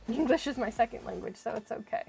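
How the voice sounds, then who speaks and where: A woman's voice: fairly high pitch, vocal fry, a little mellow, low-quality recording. A woman streaming a video game, chatting casually and thinking aloud as she plays. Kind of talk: casual live-stream chat while playing.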